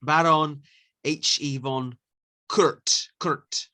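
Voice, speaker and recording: A man hosting a podcast interview, speaking haltingly with many fillers and taking care over names. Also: a little high, steady tone, bright, forceful tone, clear, resonant tone. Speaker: podcast host. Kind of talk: casual interview chat.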